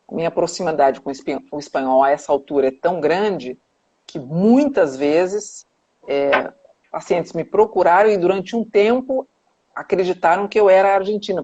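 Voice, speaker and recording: Husky, gravelly woman on a muffled call. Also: lowish voice, warm tone. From Brazil. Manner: hesitant, many restarts and tag questions. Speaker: psychoanalyst guest. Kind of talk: casual panel conversation on a live video call. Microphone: video call. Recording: dull lo-fi video call audio.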